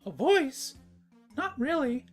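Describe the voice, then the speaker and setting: A woman puts on a young male dragon for a cartoon story, cheeky and laid-back. The delivery is casual and wry, raised in pitch, with faint music behind. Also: big pitch swings, slow, full character voice. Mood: curious. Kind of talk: cartoon fan-fiction voice acting.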